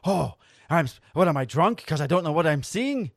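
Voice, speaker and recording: A man voicing an irritated middle-aged woman caller with a Polish accent and halting English, raising his pitch for her. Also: expressive, no room sound, studio quality. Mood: indignant.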